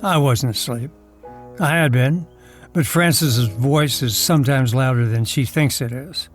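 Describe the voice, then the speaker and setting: An actor playing a wealthy, wry, teasing husband in a wheelchair in an audio drama. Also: male voice, mid-range voice, a little slow, faint music behind, lightly acted. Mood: reflective narration. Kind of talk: audio drama acting, first-person narration and dialogue.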